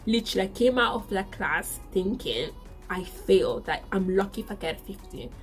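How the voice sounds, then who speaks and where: A woman's voice: mid-range voice, lively intonation, moderate pace, faint music behind. Chatty young woman with an Italian accent. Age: 20s. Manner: chatty, repeats herself. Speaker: vlogger. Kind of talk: casual storytelling to camera.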